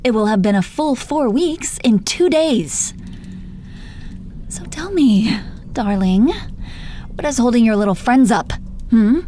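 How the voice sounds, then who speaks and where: Supervillainess for audio drama, woman performing: smooth-dark tone, gloating and taunting, sweary, short on patience. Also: a little high, expressive, takes it slowly, long pauses, slight background noise. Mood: condescending taunting. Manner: taunting, condescending pet names, heavy swearing. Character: gloating menacing female supervillain, sardonic and exasperated. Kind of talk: dramatic roleplay audio monologue.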